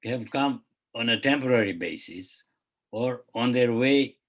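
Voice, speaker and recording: A man's voice: mid-range voice, lively intonation, a little slow. A man speaking as a panelist in an online discussion, reflective and hedging, often trailing off mid-thought. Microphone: video call.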